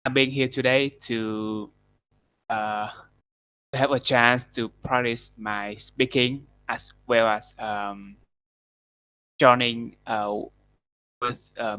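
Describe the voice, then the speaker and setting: A young man from Vietnam, introducing himself hesitantly in halting learner's English in an online class. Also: medium pitch, steady tone, sharp tone, clean tone. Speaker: student. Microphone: online voice chat.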